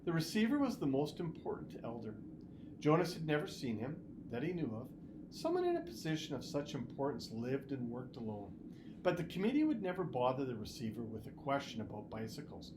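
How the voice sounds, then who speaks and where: Male story reader, mid-pitched, highly animated, deliberate phrasing, noisy room tone. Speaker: school superintendent reading aloud. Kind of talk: expressive audiobook-style story reading. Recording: noticeable background noise and ambience.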